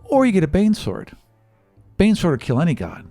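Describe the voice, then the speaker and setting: A man plays a little girl from a dream, pitched up and lightly teasing. The child speaks breezily and cryptically, as if casual about godly matters. The tabletop game recording is dry, with faint music. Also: wildly swooping pitch, moderate pace, mellow, no room sound. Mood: matter-of-fact.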